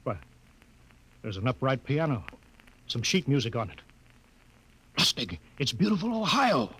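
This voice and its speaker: An actor with an American accent playing a skeptical, authoritative spaceship captain in a 1950s radio drama. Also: male voice, mid-range voice, very animated, unhurried, bright, forceful tone, husky, full character voice. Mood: baffled, insistent. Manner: authoritative, clipped orders. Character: commanding, skeptical male spaceship captain insisting on logic. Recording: noisy old 1950s radio recording.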